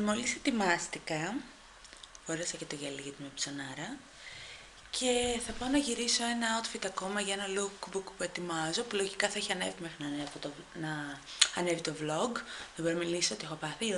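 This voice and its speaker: A young woman, chatting to her handheld camera as she walks through her family home, excitable and full of quick asides to viewers. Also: mid-range voice, slight background noise. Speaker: vlogger. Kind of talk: casual vlog chat to camera. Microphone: handheld camera at arm's length.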